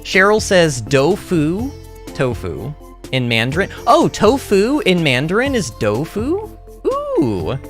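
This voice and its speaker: A streamer casually rambling and answering chat during a game livestream, speaking animatedly and emphatically as a native English speaker. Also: mid-range voice. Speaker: livestreamer playing a farming game.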